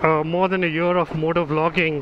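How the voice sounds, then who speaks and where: Man vlogging over loud road noise. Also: on the high side, flat delivery, fairly quick, dull audio. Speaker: motovlog host. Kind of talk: casual riding commentary to viewers. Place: outdoors, riding a motorbike on rural roads. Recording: loud wind and motorbike engine noise.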